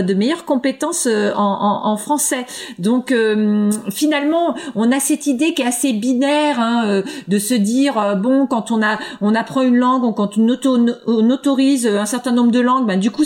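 A woman's voice: on the high side, darkish tone. A woman researcher answering interview questions, hesitant, with many fillers and restarts. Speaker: education researcher.

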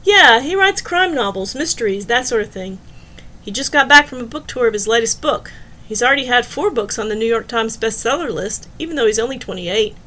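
Wry young female bartender, voiced by a woman narrator: knowing, teasing, matter-of-fact, fairly high voice, audiobook dialogue. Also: animated, fairly quick, pushed and bright, slight background noise. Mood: informative.

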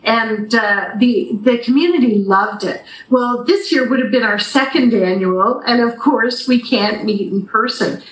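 A woman's voice: on the high side, a little slow, hardly any pauses. A Canadian woman answering interview questions conversationally and enthusiastically over a video call, as a theatre director and radio guest. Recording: roomy video call, faint noise.

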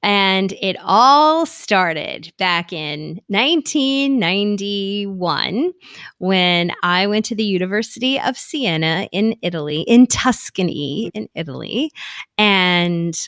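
A woman's voice: mid-range voice, animated, takes it slowly, fairly bright tone, dry recording, studio quality. A middle-aged woman co-hosting a podcast, chatty and giggly, rambling through a personal story. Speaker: podcast co-host. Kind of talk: casual conversational chat.

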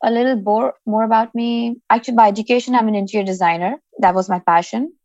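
A woman's voice: on the high side, fairly even intonation, fast talker, darkish tone, no room sound. Frank woman in her 30s, muffled dry recording. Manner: frank, earnest, plain-spoken. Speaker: podcast guest, founder. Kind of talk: candid personal storytelling.

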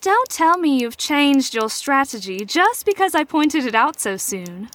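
Audio drama mentor: woman with a notably high voice, formal and measured, poised and gently probing. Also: sing-song, heavily acted. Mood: dramatic, musing. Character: poised, probing female mentor and chess player. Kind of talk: scripted audio drama acting.

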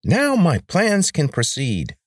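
A man voicing a ruthless male rebel leader with a high, grating voice, in an audiobook. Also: wildly swooping pitch, slow pace, dry recording, studio quality, full character voice. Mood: commanding. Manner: commanding, menacing.

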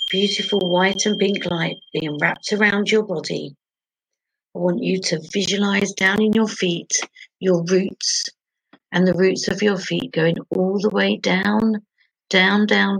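Very slow, husky woman guiding meditation. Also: fairly low pitch, rough recording. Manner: soothing, hushed, gentle instruction with long pauses. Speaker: meditation guide. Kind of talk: guided sleep meditation.

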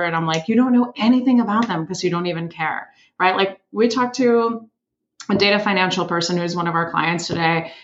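Animated woman with a dull lo-fi sound. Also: lowish voice. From Switzerland. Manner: animated, laughs often, acts out quotes. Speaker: sales leader, podcast co-host. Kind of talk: casual panel chat.

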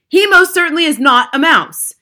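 Storybook role: pompous English dad, outraged and blustering, raised, forceful voice female reader. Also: high voice, animated, moderate pace, pitched above the performer's own voice. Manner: shouting, spluttering, indignant.

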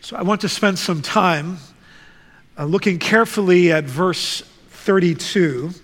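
A man who grew up in the Netherlands, preaching a sermon and reading scripture in a slow, deliberate way. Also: high voice, a bit bright. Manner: slow, deliberate, measured delivery. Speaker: guest preacher. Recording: reverberant church hall.

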